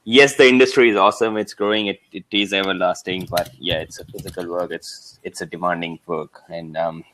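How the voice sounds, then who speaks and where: A man who is a guest in a casual chat, soft-spoken and a little hesitant, agreeing often. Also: sits low, fairly even intonation, fairly quick, low-quality recording.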